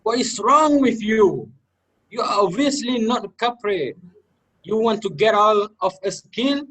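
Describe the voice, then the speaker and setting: A man playing a bitter, defensive student with a guilty secret, in a halting amateur reader's theater delivery. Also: high voice, lively intonation, a bit bright, exaggerated voice, poor audio. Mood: angry, defiant.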